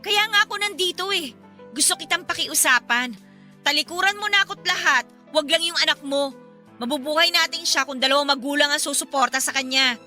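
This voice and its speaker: Bright, high-voiced desperate young mother. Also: brisk, soft background music. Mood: pleading. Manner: emotional, pleading and accusing. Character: desperate young single mother pleading with and confronting ex-lover. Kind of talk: Tagalog radio drama acting.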